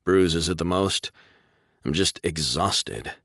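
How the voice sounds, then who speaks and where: A man doing a young starship captain, barking orders in a raised, strained voice. The voice sits well above the performer's own low register, with a close, dry sound. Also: expressive, normal speed, a bit bright, no echo. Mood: weary. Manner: urgent, barked orders. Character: young male starship captain, desperate, commanding.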